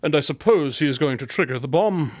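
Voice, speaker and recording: A man's voice: fairly high pitch, expressive, brisk, dry recording. A man playing a self-assured, boastful detective in a radio drama, with a formal, dryly proper manner. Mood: cool, dry.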